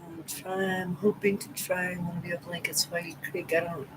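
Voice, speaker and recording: A woman's voice: lowish voice, level tone, moderate pace. Middle-aged woman, massachusetts accent, chatty and sweet with her viewers, faint hiss behind. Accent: Southeastern Massachusetts. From Massachusetts, USA. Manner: chatty, affectionate, greets viewers by name. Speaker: livestream host. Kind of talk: casual livestream chat with viewers.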